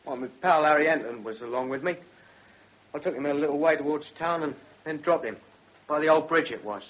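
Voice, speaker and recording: An actor playing a surly, defiant career criminal on trial in a radio drama, speaking bluntly with a husky voice and a working-class English accent. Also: male voice, fairly high pitch, brisk, natural voice. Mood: guarded, insistent. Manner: blunt, colloquial. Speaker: defendant in a courtroom drama. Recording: muffled, dull radio drama recording.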